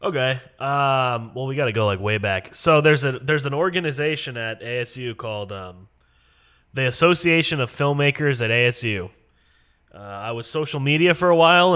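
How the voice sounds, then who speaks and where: Male guest, twenties, clear and bright timbre, easygoing, trails off softly. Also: medium pitch. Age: 20s. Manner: relaxed, chuckling, trails off softly. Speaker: podcast guest. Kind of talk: casual conversation.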